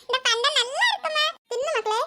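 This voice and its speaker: A performer with a very high voice playing a harsh, bossy stepmother in a home-made skit who scolds and gives orders in speech. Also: brisk, loud music behind the voice. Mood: coaxing. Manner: shrill, bossy, shouts orders.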